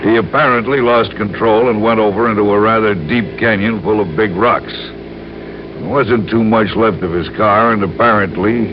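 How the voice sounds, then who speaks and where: An actor playing an earnest male insurance man who explains things deliberately and carefully in an old radio crime drama. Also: on the low side, natural voice. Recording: muffled, noisy old radio recording.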